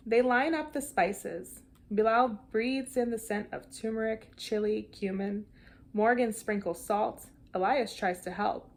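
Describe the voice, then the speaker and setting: A woman reads a children's picture book aloud with an animated, deliberate storytelling delivery. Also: a little high, vocal fry. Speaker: storybook reader.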